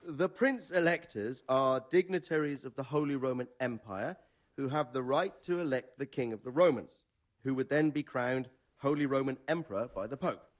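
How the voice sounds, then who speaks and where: An actor playing a knowledgeable older medieval lord in a video game, explaining things in a measured way. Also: male voice, medium pitch, natural voice. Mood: measured, explanatory. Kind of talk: video game dramatic dialogue.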